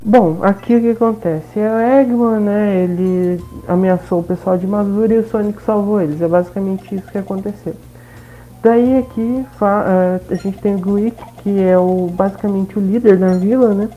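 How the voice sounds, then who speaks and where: A woman's voice: mid-range voice, steady tone, moderate pace, a little hiss or hum. A woman with a Brazilian accent giving casual, informal gameplay commentary, often adding little tag questions. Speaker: gaming video host. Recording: dull, lo-fi sound, faint game music.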